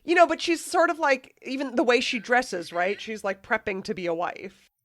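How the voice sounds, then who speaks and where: A woman's voice: on the high side, very animated, moderate pace. A woman in casual, conversational book discussion as a podcast guest.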